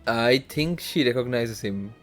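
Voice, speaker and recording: Slow-paced, hesitant game streamer. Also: mid-range voice, lively intonation. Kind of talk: casual live game commentary, reacting. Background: game music.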